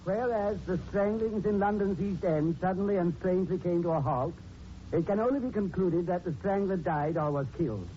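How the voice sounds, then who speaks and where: Man playing a pompous Lord Mayor, dark-toned. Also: high-pitched, normal speed, lightly acted. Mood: solemn, pompous. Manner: pompous, formal, declamatory. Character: pompous, solemn Lord Mayor reading a proclamation. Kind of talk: 1940s radio drama acting. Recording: dull hissy 1940s radio recording.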